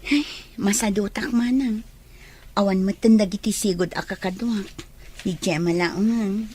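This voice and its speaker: A woman with an Ilocano accent playing a soft-hearted, forgiving younger sister in an audio drama. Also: mid-range voice, measured pace, slight background noise, close to an everyday voice. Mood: reluctant, teasing.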